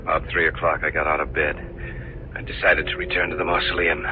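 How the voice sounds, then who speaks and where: An actor playing an uneasy, haunted man narrating an old-time radio mystery in a brooding, hesitant, deliberate way. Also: low voice, level tone, natural voice. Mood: brooding, eerie. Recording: noisy muffled old radio recording.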